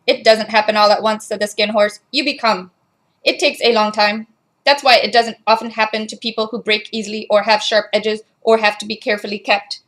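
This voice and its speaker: A woman's voice: medium pitch, little pitch movement, fairly quick, fairly bright tone, lightly acted. A woman voicing a robot that reads a children's storybook aloud in a robotic delivery. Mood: mechanical, measured. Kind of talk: scripted storybook narration.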